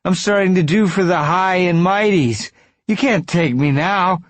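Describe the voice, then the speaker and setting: A shrill, slurred drunk, far higher than a normal man's voice. He wheedles and boasts in a loud, frantic rush, like a cornered small-time hustler in an audiobook. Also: measured pace, dry recording. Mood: pleading, boastful. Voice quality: high, slurred. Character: panicked drunken man, pleading hustler with a high slurred voice. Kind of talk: audiobook character acting.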